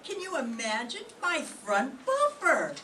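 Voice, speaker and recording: A woman's voice: high voice, wildly swooping pitch, slow, sharp tone, heavily acted. An older woman playing a chatty, scatterbrained, oblivious lady in a 1940s-style radio comedy, prattling and gushing. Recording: echoey room from far off, noise.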